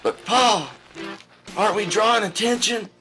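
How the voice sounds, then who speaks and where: A man's voice: high voice, a little slow, music in the background. A man playing a naive, whiny young boy in an audio drama, pleading and insistent. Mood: pestering, anxious.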